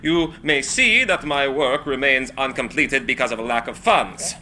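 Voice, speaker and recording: A man's voice: medium pitch, animated, pushed and bright. A man playing a brilliant, eccentric, proud and desperate inventor in a theatrical, impassioned dramatic script reading. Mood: formal, insistent. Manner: theatrical, impassioned, halting when agitated. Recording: live room recording with faint background noise.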